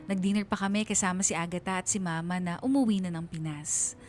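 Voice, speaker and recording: A woman's voice: fairly low pitch, fairly quick, a little mellow, soft background music, natural voice. A woman playing a young woman who narrates her own story of love and dreams in a radio drama. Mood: reflective.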